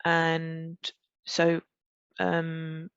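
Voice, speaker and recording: A woman from Pakistan tells a personal story as a podcast guest. She speaks reflectively and haltingly, restarting phrases often, in a gravelly voice with little rise and fall in pitch. The recording is dull, dry and lo-fi, like a remote call mic. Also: completely flat delivery, no echo.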